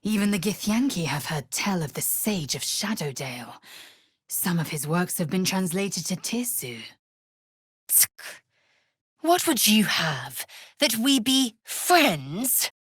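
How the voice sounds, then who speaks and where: A woman playing a proud, fierce githyanki warrior in a fantasy video game, with a husky, raspy voice and a clipped, imperious, formal delivery. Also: lowish voice, sing-song, takes it slowly, fairly bright tone, no echo, studio quality, exaggerated voice. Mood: stern.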